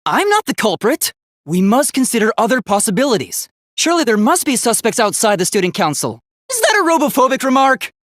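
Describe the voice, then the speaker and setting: K1-B0, earnest robot boy with animated pitch. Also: a little fast, no echo, studio quality, heavily acted. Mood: indignant, flustered. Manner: precise, formal, earnest articulation. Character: earnest, logical, easily offended young male robot student. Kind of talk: English video game voice acting.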